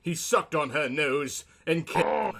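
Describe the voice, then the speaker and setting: A man's voice: a little high, fairly even intonation, slow pace, fairly bright tone. A man narrating a comic story in a theatrical, mock-romantic style.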